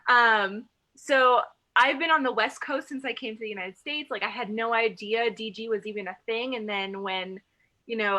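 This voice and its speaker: A woman's voice: fairly high pitch, pushed and bright. A woman from Lebanon who grew up in Dubai, introducing herself casually on a group video call in a chatty way full of "like". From Lebanese, grew up in Dubai, UAE. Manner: informal, chatty, frequent "like". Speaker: new staff member of a theater organization, director.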